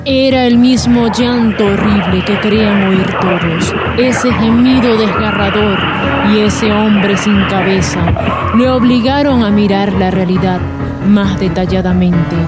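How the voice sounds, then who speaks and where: Female storyteller for radio drama: medium pitch, theatrical suspense, heavy music bed behind the voice. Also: steady tone, measured pace. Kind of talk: scripted dramatic narration, radionovela. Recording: loud music under the voice. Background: loud background music.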